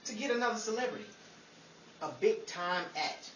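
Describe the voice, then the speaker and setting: A woman's voice: a little high, expressive, normal speed, natural voice. A woman playing a girl-crazy, pleading bachelor sidekick with a hesitant, wheedling manner, in 1950s radio comedy style. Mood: coaxing. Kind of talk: 1950s old-time radio comedy acting. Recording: roomy, distant, noisy live audience recording.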